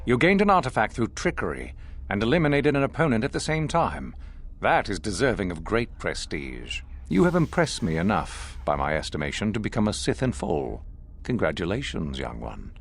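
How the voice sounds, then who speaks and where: An actor playing a stern, scheming Sith master in a video game, speaking in a formal, measured, pompous way. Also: male voice, on the low side, big pitch swings, creaky, exaggerated voice. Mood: smug approval. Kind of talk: theatrical video game villain acting.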